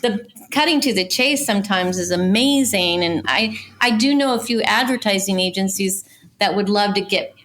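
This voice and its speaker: A woman's voice: medium pitch, moderate pace, a bit bright. A middle-aged woman talking casually and conversationally as a guest casting director in an interview.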